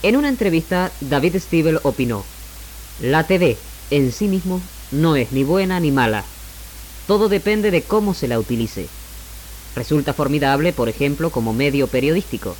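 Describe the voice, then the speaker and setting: A man's voice: on the high side, expressive, moderate pace, darkish tone, a little hiss or hum, poor audio. A man reading scripted narration as a presenter on 1960s radio. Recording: archival radio recording with music behind. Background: music.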